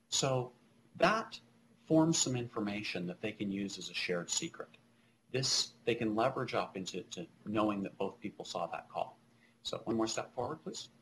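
A middle-aged man presenting technical material over slides in a halting, explanatory way, speaking from a small room on a webcam call. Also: fairly low pitch, a bit bright, a little hiss or hum, rough recording.

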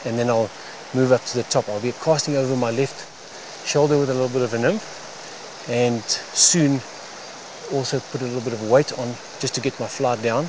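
A man guides the listener as he fishes, speaking in unhurried phrases with pauses. His voice is medium in pitch. He is outdoors by a stream, with clear water and ambient noise behind him. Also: fairly even intonation. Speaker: fly fishing guide. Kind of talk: instructional commentary while fishing. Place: outdoors on a wooded stream bank. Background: running water, outdoor ambience.

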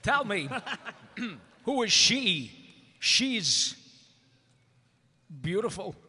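A man's voice: high voice, big pitch swings, extremely slow, pushed and bright, breathy edge, full character voice. A man voicing an eager, awestruck young farm boy in a comic live script reading. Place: large convention hall stage. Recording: echoey convention hall, audience noise.

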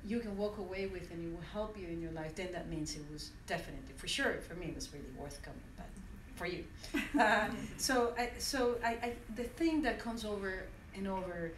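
A woman's voice: medium pitch, brisk, few pauses, slight background noise. Woman speaking in an echoey classroom. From Venezuela. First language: French. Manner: reflective, with many restarts and hesitations. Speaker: conference speaker. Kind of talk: personal talk to an audience. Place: university classroom, indoors. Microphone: standing mic, recorded by a phone from the audience. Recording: echoey room from far off.

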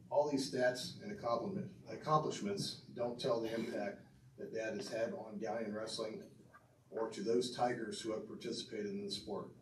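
A man's voice: on the low side, fairly even intonation, normal speed. A middle-aged man gives an earnest tribute speech from a podium in a banquet room, reading from notes with fillers and restarts. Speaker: speaker inducting his father into a hall of fame. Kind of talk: prepared tribute speech with asides to the audience. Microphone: podium mic heard from a far camera. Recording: roomy and distant with faint room noise.

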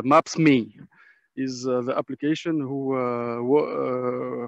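Guy w non-native broken english, really slow, keeps searching for words, muffled zoom call sound. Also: medium pitch, no room sound. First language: not English. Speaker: panel speaker. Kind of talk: informal spoken testimony in a webinar. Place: on a video call from a dim space. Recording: muffled, lo-fi video call audio.

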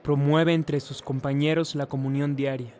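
A man with a slightly high voice narrates a radio drama from a script. There is faint ambient noise behind him. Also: flat delivery, normal speed, a little mellow.

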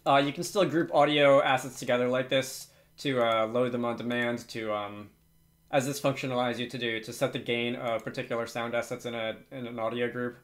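A man's voice: mid-range voice, fairly even intonation, a little fast. A man presenting a casual, unscripted screencast tutorial, hesitantly thinking aloud as he works. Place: indoors at a computer.

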